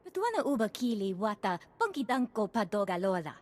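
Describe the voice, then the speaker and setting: A woman playing an alien in a video game, speaking an invented tongue with a husky voice. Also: mid-range voice, sing-song, brisk, a little mellow. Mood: animated. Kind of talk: video game alien dialogue in gibberish language.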